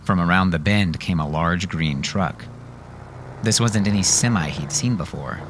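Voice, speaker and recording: A man's voice: deep voice, unhurried, a little hiss or hum. A man narrating scripted fiction dramatically, as an audiobook narrator.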